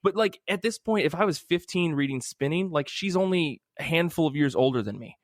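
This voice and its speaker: A man's voice: a little high, expressive, a little fast, no echo, clean studio sound. A man hosting a casual podcast chat about comic books, speaking in an effusive, emphatic way with casual swearing. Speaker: podcast host.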